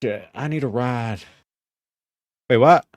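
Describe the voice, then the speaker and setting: A man's voice: mid-range voice, slow, no room sound, clean studio sound. A man streaming a game, chatting casually and joking with his viewers, swearing a lot. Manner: profane, joking, talks to chat while playing. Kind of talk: casual gaming livestream chat.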